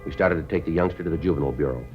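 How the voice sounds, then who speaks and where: A man playing a stern, matter-of-fact police sergeant in a 1950s radio drama, clipped and deadpan with an American accent. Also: a bass voice, rapid-fire, music in the background. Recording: muffled vintage radio recording.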